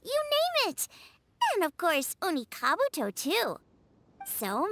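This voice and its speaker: A woman voicing a tiny, chirpy, childlike fairy sidekick in a video game, eager and chatty with a squeaky voice. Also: fairly bright tone, heavily acted. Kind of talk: animated video game voice acting.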